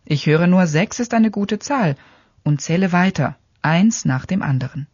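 A mid-pitched voice reads an experimental essay in a calm, matter-of-fact way. The sound is dry and close, with no room around it. The audio is dull and lo-fi. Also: measured pace, husky, no echo. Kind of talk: experimental radio essay narration.

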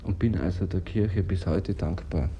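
A man's voice: low-pitched, moderate pace, vocal fry, lo-fi sound, slight background noise. An older man telling a personal story in a hesitant, wry way. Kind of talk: personal anecdote in an interview.

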